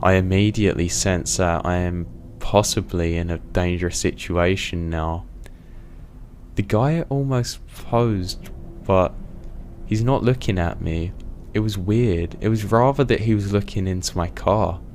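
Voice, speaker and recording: A man narrating a true horror story in the first person, in a tense, deliberate storytelling manner. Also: deep voice, a little mellow, a little hiss or hum.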